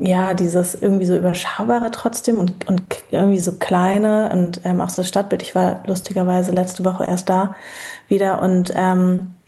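A woman in her thirties from Berlin, a guest talking in a relaxed, reflective way with many pauses and fillers. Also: mid-range voice, gravelly. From Berlin, Germany. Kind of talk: casual interview chat.

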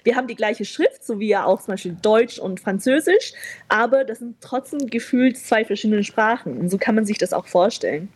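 A woman's voice: a little high, animated, fairly quick, breathy edge. A woman from Beijing and Vienna, a native Mandarin speaker, talking as an interview guest in a casual, explanatory way. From born in Beijing, grew up in Vienna from age seven. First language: Mandarin Chinese. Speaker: interview guest, food tour guide.

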